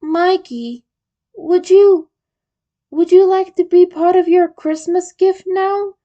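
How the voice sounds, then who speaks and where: A kind young friend with a secret crush acted by a woman talks in a very high voice. The character is shy and gentle, speaking dialogue from a romantic story. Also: takes it slowly, lo-fi sound. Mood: nervous.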